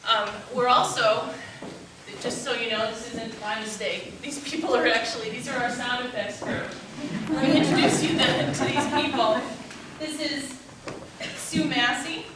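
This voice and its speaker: A woman's voice: a little high, fairly even intonation, normal speed. Echoey, distant woman addressing a live audience. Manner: grateful, informal. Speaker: producer-director introducing a performance. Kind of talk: informal welcome speech to a live audience. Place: meeting house hall with live audience. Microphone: distant microphone. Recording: echoey hall, distant mic, noisy audience.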